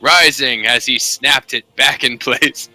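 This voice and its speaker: A man's voice: mid-range voice, steady tone, slow, pushed and bright, dry recording, soft background music. A man reading aloud as an amateur, in dramatic romance novel narration. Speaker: amateur reader.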